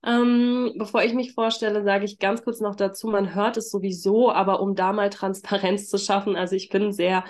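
A woman with a congested voice from a heavy cold, speaking gently and informally as a yoga teacher leading a workshop. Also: mid-range voice, level tone, normal speed, hardly any pauses. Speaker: trauma-sensitive yoga teacher and facilitator. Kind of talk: workshop introduction and guided mindfulness.

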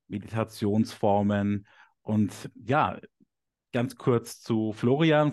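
A man hosting an interview, giving a formal and halting welcome to his guest. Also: mid-range voice, a little mellow, no echo, clean studio sound. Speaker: interviewer host. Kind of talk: introducing a guest.

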